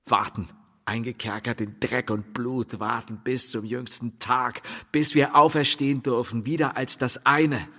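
An actor playing a bitter, tormented husband in a literary radio drama, speaking in a solemn, declamatory and halting way. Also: male voice, medium pitch, fairly even intonation, bright, forceful tone. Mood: brooding. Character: bitter, brooding, fatalistic husband, resigned yet tormented. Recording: long hall reverb.

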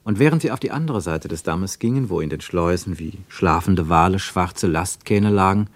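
A man's voice: low voice, moderate pace, no room sound. A man narrating a literary radio drama from a script.

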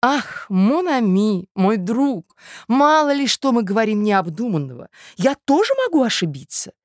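A woman's voice: fairly high pitch, very animated, moderate pace, no room sound, studio quality, voice pushed up. A woman playing an effusive, scheming society mother from a classic novel with sugary, theatrical manners. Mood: coaxing. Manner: theatrical, effusive, peppered with French endearments.

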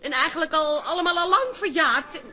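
An actress playing the exasperated, long-suffering daughter of a troublemaker, wry and sceptical, in a radio drama. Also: female voice, sits high, brisk, sharp tone. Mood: apologetic, flustered.

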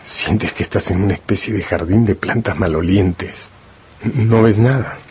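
A man's voice: low voice, unhurried, a little mellow, slightly rough. A man delivering dramatic scripted narration for a radio drama, addressing the listener directly. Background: faint music.

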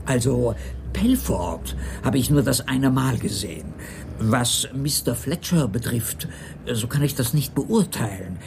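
An actor playing a prim, dutiful sanatorium employee who speaks formally and fussily in a radio crime play. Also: male voice, mid-range voice, big pitch swings, unhurried, vocal fry, background noise. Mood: dutiful, deferential. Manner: formal, fussy, easily affronted.

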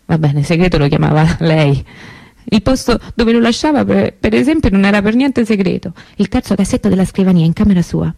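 A woman's voice: medium pitch, animated, vocal fry, dark tone, dry recording. An actress playing an anguished young woman remembering her missing sister in an intimate, hesitant radio monologue. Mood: wistful, tender. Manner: confiding, hesitant, conversational with pauses and repetitions.

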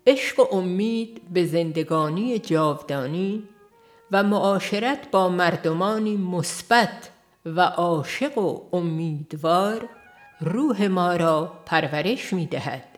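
A woman reading a religious book aloud as an audiobook, in a measured, earnest way that leans on rhetorical questions. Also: fairly low pitch. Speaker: audiobook reader.

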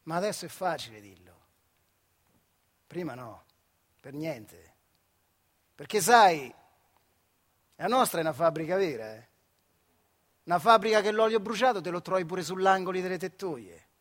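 An actor playing an embittered old retired factory worker in a radio theatre monologue, in earthy, colloquial central Italian dialect from the Marche, moving between hushed and loud. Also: male voice, sits high, animated, normal speed, pauses often. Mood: wry, reminiscing.

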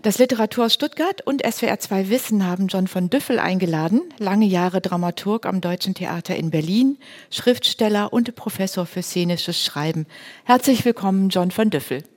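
A woman's voice: medium pitch, normal speed. Woman moderating a panel talk, mid-range voice, articulate and organized presenter delivery. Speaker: host, moderator. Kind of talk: moderated stage discussion. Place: hall with a live audience.

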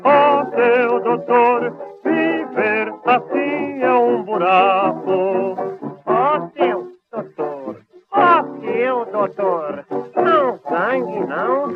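A man with a Brazilian accent singing an old popular song to instrumental accompaniment. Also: very high-pitched. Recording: tinny narrow-band old song recording. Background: musical instruments, brass.

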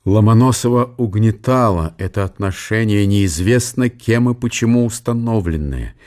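An actor playing the sober narrator of a historical radio drama, reading literary prose in a measured, solemn way. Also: male voice, fairly low pitch, darkish tone, natural voice. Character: sober storyteller of a historical tale. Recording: music behind the voice.

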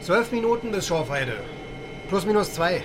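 An actor playing a chatty, cynical East German army helicopter pilot in a radio drama, speaking in short, breezy phrases. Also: male voice, sits high, lively intonation, moderate pace, background noise. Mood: brisk, casual.

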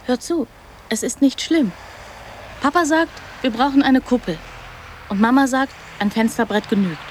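A woman's voice: sits high, expressive, moderate pace, a little hiss or hum. An actress playing an odd young girl in a radio drama, blunt yet tender, in simple childlike sentences. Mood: matter-of-fact.

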